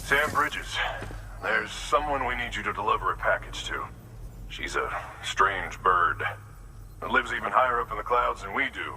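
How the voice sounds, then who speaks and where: A man's voice: on the low side, bright, forceful tone, slight background noise, exaggerated voice, poor audio. An actor voicing a friendly man in the mountains who passes along a request in an easygoing, wry, chatty way. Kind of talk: video game cutscene dialogue.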